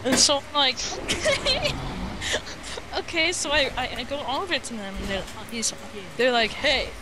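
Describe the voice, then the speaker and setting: A woman telling an improvised story in casual chat, halting and rambling, acting out the dialogue as it goes, in a noisy amateur voice chat. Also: high-pitched, big pitch swings.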